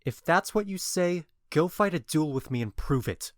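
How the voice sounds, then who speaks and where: A man's voice: on the high side, expressive, moderate pace, no echo, studio quality, natural voice. A man playing a bewitched, cruel and impetuous young lover, scornful and vehement, in a modernized Shakespeare audio drama. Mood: ardent, defiant.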